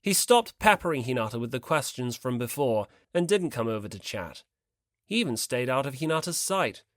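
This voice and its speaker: A man's voice: medium pitch, big pitch swings, normal speed, dry recording, studio quality. A man reading scripted story narration expressively as an audiobook narrator.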